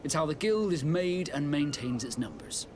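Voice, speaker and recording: Actor playing a proud seafaring captain, measured and formal. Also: male voice, on the high side, animated, noisy background, natural voice. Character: proud seasoned male sea captain of a sailors' guild. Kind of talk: scripted video game dialogue.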